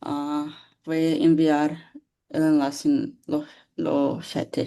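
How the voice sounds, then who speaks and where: Slow-talking woman, non-native Spanish speaker. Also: deep voice, level tone, dark tone. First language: not Spanish. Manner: hesitant, apologetic, halting Spanish. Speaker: event organizer.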